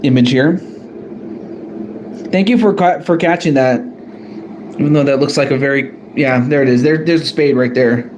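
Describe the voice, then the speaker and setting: A man's voice: medium pitch, normal speed, pauses often, a little mellow, slight background noise, poor audio. A man casually drawing cards on a live stream, hesitant and apologetic, often correcting himself. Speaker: streamer drawing cards for a fan wrestling tournament.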